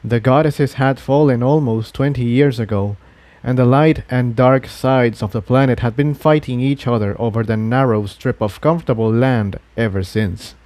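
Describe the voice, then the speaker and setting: A man's voice: medium pitch, fairly even intonation, moderate pace, a little mellow, no echo. A man reading scripted adventure fiction aloud as a dramatic story narrator.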